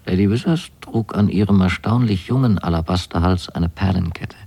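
Earnest son reading his letter home, radio play, really low n gravelly, measured. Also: warm tone, full character voice. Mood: dreamy, contented. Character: earnest, rapturous son writing to his mother.

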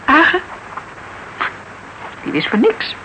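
An actress playing a lively, shrewd young detective's associate in a Dutch radio crime drama, talking colloquially and emphatically, as if thinking aloud. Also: female voice, takes it slowly, a little hiss or hum, rough recording. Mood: animated recounting.

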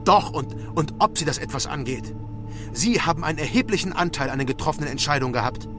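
An actor playing a stranded, insistent journalist in a radio drama, earnest and argumentative. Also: male voice, fairly high pitch, animated, quick pace, natural voice. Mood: indignant, pleading. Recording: music behind the voice. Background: music.

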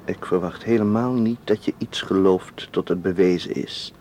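Actor as devoted, persuasive male suitor for radio drama; lowish, warm tone, calm insistence, faint noise. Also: normal speed, lightly acted. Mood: earnest. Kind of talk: Dutch radio play acting.

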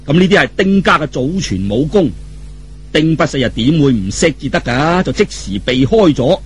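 Cantonese guy doing dramatic storytelling, raspy, highish voice, very theatrical. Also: sing-song, moderate pace. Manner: theatrical, colloquial, with vivid sound effects and exclamations. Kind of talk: animated dramatic Cantonese storytelling, colloquial audiobook narration.

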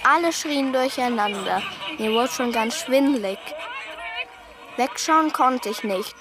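A haunted survivor recalling childhood in a restrained radio monologue. The voice is highish and very slow, with clear music underneath. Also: female voice, lively intonation, a crowd in the background. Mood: haunted, recollecting. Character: woman recalling childhood trauma of mother's death, haunted, restrained.

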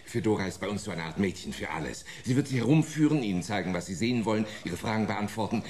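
A man playing a brisk, officious, slightly flustered executive in a German radio play. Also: fairly low pitch, lively intonation, darkish tone, close to an everyday voice.

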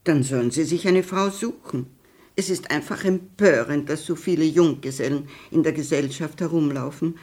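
A domineering society matron acted by a woman in a 1960s radio play. Her voice is very low for a woman, and she lays down the law with brusque indignation. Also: moderate pace, darkish tone, lightly acted. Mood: indignant, brusque. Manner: brusque, decreeing.

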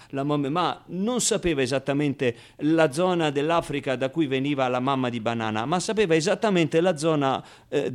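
An actor playing a naive, wistful, streetwise ten-year-old orphan in an expressive, halting radio monologue. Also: male voice, fairly high pitch, natural voice. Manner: expressive, halting, conversational storytelling with rhetorical tags. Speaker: solo actor.

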